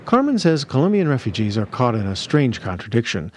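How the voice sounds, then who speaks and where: A male radio reporter narrating a scripted news feature in a measured, lively delivery. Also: medium pitch, crowd noise.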